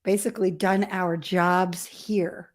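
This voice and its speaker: A middle-aged woman from Ethiopia, telling personal stories as an interview guest in a candid, animated way with self-deprecating humour. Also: on the low side, takes it slowly. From grew up in Ethiopia as a missionary kid. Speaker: podcast guest. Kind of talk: conversational personal storytelling.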